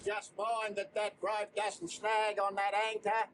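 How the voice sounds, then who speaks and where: A man's voice: sits high, monotone, a little slow, fairly bright tone. An Australian man, a coast guard crew member, calling out short working instructions outdoors on a boat. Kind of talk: working calls and instructions on deck. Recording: windy, noisy boat deck recording. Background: wind, boat engine noise.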